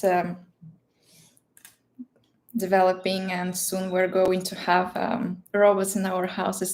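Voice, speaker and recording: Russian woman doing a livestream chat, accented english, highish voice, playful and giggly, hunts for words a bit. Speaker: livestream host. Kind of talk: casual chat answering viewer questions.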